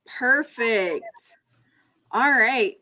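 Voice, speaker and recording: A woman's voice: on the high side, drawn-out words, sharp tone, poor audio. A woman moderating an online information session, reading out audience questions with frequent ums. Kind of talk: webinar moderation.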